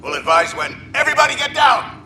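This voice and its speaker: Strained alien commander amid loud noise. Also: male voice, very high-pitched, big pitch swings, fast talker. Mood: frantic, urgent. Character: male alien military commander under attack, urgent. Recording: very noisy, echoing battlefield transmission.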